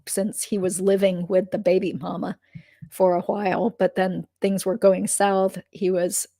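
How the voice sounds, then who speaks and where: A woman hosting a legal commentary livestream, chatting casually with fillers and asides. Her voice is slightly croaky. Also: medium pitch, mellow, no room sound.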